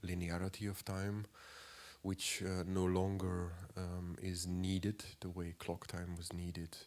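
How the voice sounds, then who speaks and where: A man in his forties with a cold, giving a halting lecture as an artist, clearing his throat often and filling pauses. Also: low voice, monotone, darkish tone. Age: 40s. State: has a cold.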